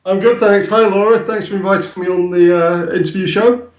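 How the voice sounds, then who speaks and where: A man's voice: high voice, steady tone, fairly quick. Northern English man, echoey room. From north of England. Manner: hesitant, many ums and restarts. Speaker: guest, website co-founder. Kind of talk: casual interview chat.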